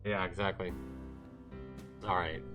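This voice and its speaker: Male streamer narrating a visual novel, lower register, informal, self-correcting, music clearly underneath. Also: flat delivery, measured pace. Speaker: livestreamer reading a visual novel aloud. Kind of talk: casual read-aloud narration of a story. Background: game music with guitar.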